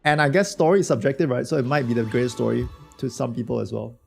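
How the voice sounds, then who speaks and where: A man streaming a game with a hoarse voice from a cold, chatting loosely and slangily with his viewers. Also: medium pitch, fast, faint music behind. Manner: slangy, rambling, talks to chat. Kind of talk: casual livestream chat reacting to a game.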